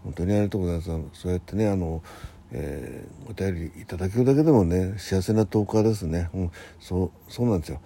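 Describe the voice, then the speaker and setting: A man hosting a casual morning talk show on his own, speaking politely in a halting, hesitant way. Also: low-pitched, mellow. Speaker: host of a morning audio show. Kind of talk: casual morning monologue, thanking listeners.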